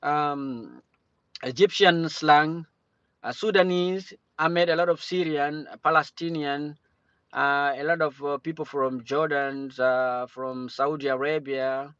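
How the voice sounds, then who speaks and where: Halting Cameroonian-born man, dull lo-fi audio. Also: fairly high pitch, steady tone, a bit bright, clean tone, no room sound. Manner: halting, many restarts and fillers. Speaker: livestream host. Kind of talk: casual livestream chat.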